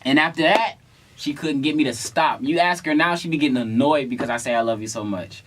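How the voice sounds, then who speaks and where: A man's voice: mid-range voice, a little fast. Young American man, playful casual storyteller. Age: young adult. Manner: playful, slangy, animated retelling with acted-out quotes. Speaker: vlog co-host.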